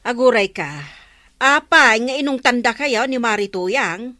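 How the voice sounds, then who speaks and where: An actress playing an indignant, excitable villager in a radio comedy drama, with an Ilocano accent. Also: female voice, on the high side, measured pace, no echo.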